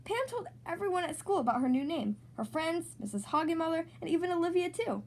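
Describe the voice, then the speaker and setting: A woman hosts a read-aloud for kids. Her voice sits high and swings widely in pitch. She narrates in an expressive, sing-song storybook manner. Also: brisk. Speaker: storybook reader, podcast host.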